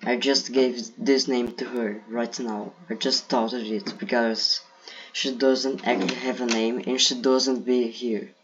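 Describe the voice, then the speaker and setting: Brazilian guy talking slow and unsure, dull lo-fi home mic. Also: medium pitch, fairly even intonation, slight background noise. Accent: Brazilian Portuguese. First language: Portuguese. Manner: hesitant, thinking aloud with fillers. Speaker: gaming video creator. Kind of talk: casual tier list commentary.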